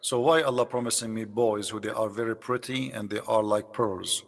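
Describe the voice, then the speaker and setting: A man's voice: on the low side, normal speed, gravelly, in a large hall. A man whose first language is Arabic, hosting a debate and pressing his opponent with mocking questions. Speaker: debate host. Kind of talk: live debate call.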